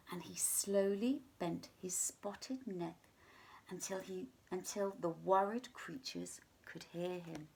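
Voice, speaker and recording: A woman's voice: mid-range voice, creaky, a bit bright, slightly rough. Woman reading a children's story aloud. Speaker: teacher reading a picture book to children.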